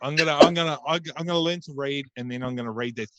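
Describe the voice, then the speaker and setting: A man's voice: medium pitch, fast, muffled recording, heavy background noise. Crude, joking podcast host man. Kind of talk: casual podcast chat.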